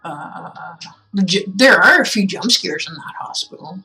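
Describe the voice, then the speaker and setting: A woman's voice: medium pitch, expressive, normal speed, pushed and bright, soft background music, poor audio. A woman streaming a game with casual, teasing, irreverent commentary, chatting as she plays.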